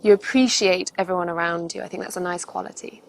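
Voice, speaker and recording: A woman's voice: on the low side, steady tone, quick pace, gravelly, slight background noise, rough recording. A woman presenting as a frank, encouraging dating coach, commenting conversationally on a player's choices.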